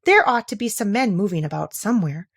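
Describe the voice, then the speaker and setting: A woman's voice: mid-range voice, lively intonation, brisk, fairly bright tone, no room sound, clean studio sound. A woman voicing a curious, polite young girl in a storybook, with an earnest, wondering manner. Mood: excited delight.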